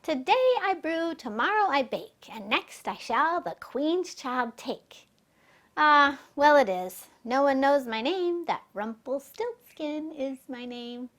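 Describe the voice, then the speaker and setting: A woman's voice: high voice, expressive, takes it slowly, a bit bright, pitched above the performer's own voice, full character voice. Woman voicing a sly, teasing little magical man. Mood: gloating.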